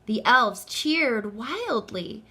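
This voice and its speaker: A woman reading a children's story aloud with dramatic, deliberate phrasing and questions put to her listeners. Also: a little high, big pitch swings, sharp tone, breathy edge. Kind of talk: expressive children's read-aloud with asides to the listeners.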